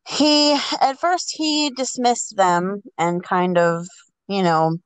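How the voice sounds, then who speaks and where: A woman making up a story aloud, halting and feeling for words as she narrates and voices the dialogue. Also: fairly low pitch, smooth, no echo. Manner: hesitant, halting, groping for words. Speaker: storyteller on a podcast. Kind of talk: improvised oral storytelling.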